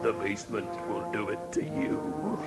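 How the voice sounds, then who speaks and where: An actor playing a solemn male movie-trailer voiceover announcer, slow and dramatic, in a radio comedy spoof. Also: lowish voice, music in the background, natural voice. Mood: portentous.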